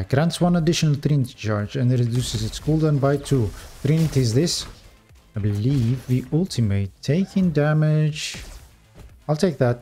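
A man with a non-native English accent talks his way through a game run, reading out upgrades and musing to his viewers. His voice is mellow and dark, at an average pitch for a man. Faint game music plays underneath. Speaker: gameplay commentator. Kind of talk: casual gameplay commentary.

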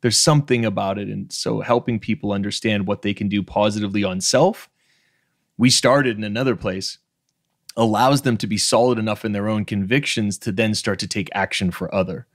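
A man's voice: fairly low pitch, steady tone, brisk, dry recording, clean studio sound. A man in his thirties from Canada's Maritimes hosting a podcast interview over a video call, talking frankly and emphatically and swearing freely. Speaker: podcast host. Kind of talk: casual interview chat. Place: home room with bookshelves, video call. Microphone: studio microphone on stand.

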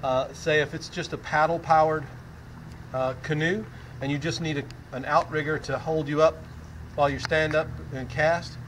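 An older man with a slightly raised voice talks through a product demonstration in a relaxed, conversational way, often pausing on "uh" and "um". He is recorded outdoors by a camera mic at a little distance, with faint background noise. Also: a little high. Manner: conversational, explaining with frequent fillers. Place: outdoors, standing in shallow bay water. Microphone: camera mic at medium distance.

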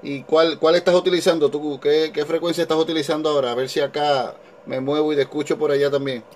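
A man's voice: on the high side, little pitch movement, fast, a little hiss or hum. A man with a Puerto Rican accent, talking cordially in a casual ham radio exchange and repeating his phrases. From Puerto Rico. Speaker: amateur radio operator.

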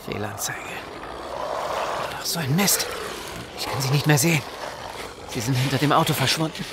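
An actor playing an anxious, doubting young survivor in a German zombie audio drama, nervous and sceptical. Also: male voice, mid-range voice, moderate pace, very noisy, close to an everyday voice. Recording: loud ambience sound effects behind voice.

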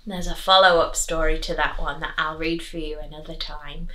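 A young woman teacher reading and chatting expressively to children, clearly enunciated. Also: deep voice, steady tone, normal speed, fairly bright tone, poor audio. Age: young adult. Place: small living room, on a sofa.

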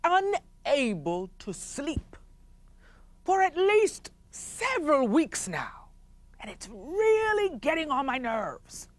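A woman's voice: high-pitched, wildly swooping pitch, takes it slowly, dry recording, voice pushed up, exaggerated voice. A woman voicing a pompous, irritable male chief who whines about losing sleep and barks orders at his court. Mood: complaining. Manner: whiny, demanding, escalating to frantic shouts.

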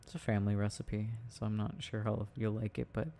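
A young man playing a sweet, shy, devoted boyfriend in an intimate ASMR roleplay, speaking softly and hesitantly, close to the mic. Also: lowish voice, flat delivery, a little hiss or hum, natural voice. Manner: soft, tender, hesitant, halting.

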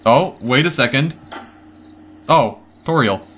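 A man commentating his game run casually, chatty and easily startled, his voice a bit hoarse. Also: mid-range voice, expressive, takes it slowly, fairly bright tone, a little hiss or hum. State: slightly hoarse, losing voice. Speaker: let's play gamer.

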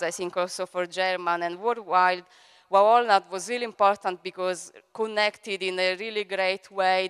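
Italian-accented woman host, hesitant with fillers, crisp voice, echoing hall. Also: mid-range voice. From Italy. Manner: hesitant, many fillers, warm thanks. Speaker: event director and host. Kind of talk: conference introduction speech. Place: large event hall on stage. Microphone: handheld microphone. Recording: long hall reverb.

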